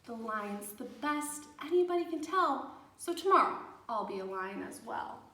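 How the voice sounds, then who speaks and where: A woman's voice: a little high, expressive, normal speed, pushed and bright, pitched below the performer's own voice. A woman voicing an eager, easily delighted male emu in a children's storybook, exclaiming with wonder and boastfulness. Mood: determined. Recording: echoey room, distant microphone.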